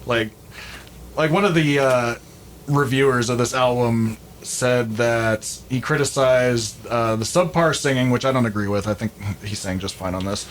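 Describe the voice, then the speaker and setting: A man talking casually and a little haltingly as he co-hosts a discussion of an album. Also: medium pitch. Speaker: podcast co-host. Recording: clear background noise.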